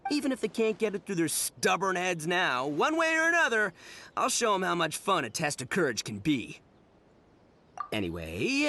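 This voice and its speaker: A man's voice: sits high, pushed and bright, full character voice. A man voicing a brash, boastful young oni gang boss with a swaggering, slangy delivery in an anime game dub.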